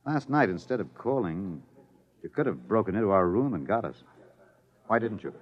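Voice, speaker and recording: A grimly resolute fugitive scientist played by a man in a vintage 1950s radio play. His voice is low and moves widely in pitch, earnest and urgent, protective of his wife. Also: animated, moderate pace, lo-fi sound, close to an everyday voice. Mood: grimly resigned. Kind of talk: 1950s radio drama acting.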